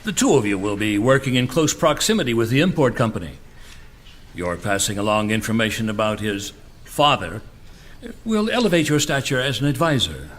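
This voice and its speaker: An actor playing the solemn, authoritative leader of a secret project in an audio drama, formal and deliberate. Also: male voice, mid-range voice, sing-song, a bit bright, a little hiss or hum. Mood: solemn, assured. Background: faint music.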